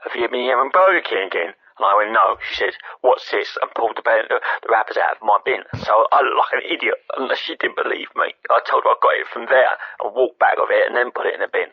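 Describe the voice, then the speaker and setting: Dim earnest lad on a dull phone line, husky creaky voice, plodding. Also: male voice, medium pitch, fast, hardly any pauses, no room sound. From London. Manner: naive, plodding storytelling. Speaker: caller. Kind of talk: spoof radio phone-in call.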